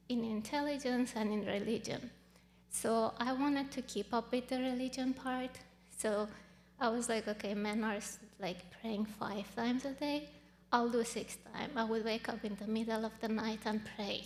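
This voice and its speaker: A woman in her thirties from Iran, whose first language is Farsi, telling her own story in an interview, earnest and halting with many fillers. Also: on the high side, big-room sound. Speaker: guest. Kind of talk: personal testimony interview.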